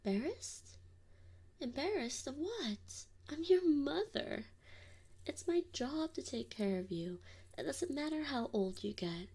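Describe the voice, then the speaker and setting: A woman playing a doting, gently teasing wolf mother in an ASMR roleplay, speaking softly and often in a whisper. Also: high voice, big pitch swings, exaggerated voice. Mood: soft, coaxing. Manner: soft, slow, often whispered. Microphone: binaural mic.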